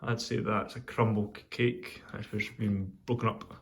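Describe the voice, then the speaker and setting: A Scottish man chatting casually in a video review, rambling and hesitant with many pauses and ums. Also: on the low side, little pitch movement, fairly bright tone. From Scotland. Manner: pauses while lighting a pipe. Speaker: pipe tobacco reviewer.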